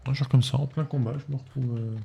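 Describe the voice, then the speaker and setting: A man's voice: medium pitch, steady tone, quick pace, vocal fry, warm tone, slight background noise. A man with a French accent giving casual, chatty commentary while playing a game, often talking back to it in mock exasperation. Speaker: gaming streamer. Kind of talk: casual let's-play commentary. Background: game music.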